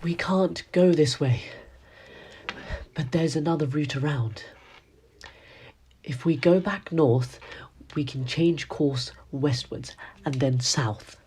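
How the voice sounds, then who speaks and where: A man playing a weary expedition doctor and scout in a radio play, with a breathy voice. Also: fairly high pitch, slow pace, pauses often, a little mellow, a little hiss or hum. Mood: worried, strained.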